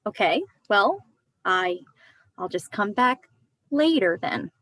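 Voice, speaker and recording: A woman playing a polite, uneasy young visitor in a horror play reading, speaking haltingly. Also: medium pitch, very animated, a bit bright, no echo, heavily acted.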